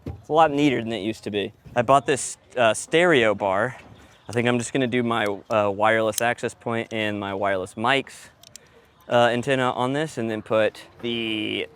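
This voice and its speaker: A man with a mid-pitched voice talks through hands-on work in a casual, joking way. There is clear background noise from working on location, and he sounds like he is chatting to a helper. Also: moderate pace, a bit bright. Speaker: sound engineer and host. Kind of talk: casual chat while working.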